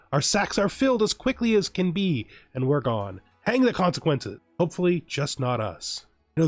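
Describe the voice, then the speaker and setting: Man muttering through a strategy game. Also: on the high side. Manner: thinks aloud, hesitant, often corrects himself. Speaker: let's play host. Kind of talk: casual gameplay commentary with read-out game text.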